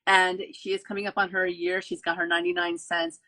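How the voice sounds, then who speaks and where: A woman's voice: lowish voice, level tone, brisk, sharp tone, rough recording. A woman hosting an author interview, gushing and effusive, often repeating her phrases. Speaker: podcast host. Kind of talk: enthusiastic interview wrap-up.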